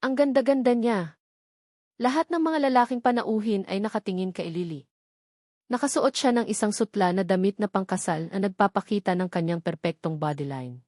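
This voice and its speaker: A woman's voice: medium pitch, expressive, fairly quick, darkish tone, dry recording, studio quality. A woman with a Filipino accent reading scripted novel narration as an audiobook narrator.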